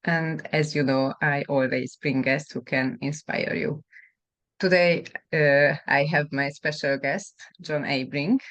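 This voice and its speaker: A woman's voice: deep voice, steady tone, slow, a bit bright. A woman who hosts a podcast and works as a health and fitness coach, asking her guest questions over a video call in a friendly manner and laughing easily. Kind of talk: interview hosting.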